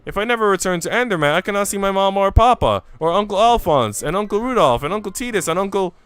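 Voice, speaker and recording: A man's voice: high voice, expressive, fast talker, a bit bright, clear, resonant tone, dry recording, soft background music, pitched above the performer's own voice. A man voicing a pitiful, homesick young Swiss maid in a visual novel, with a plaintive, tremulous manner. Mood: tearful.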